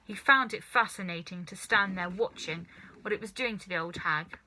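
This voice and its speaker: A woman narrating a children's story as a reader, in an animated storytelling style. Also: medium pitch, normal speed, a little hiss or hum, rough recording.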